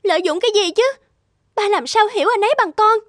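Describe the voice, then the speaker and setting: An actress playing a naive, lovestruck young woman in an animated drama, with a dramatic, emotional manner. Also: extremely high pitch, fast, no room sound, studio quality. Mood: defiant. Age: young adult.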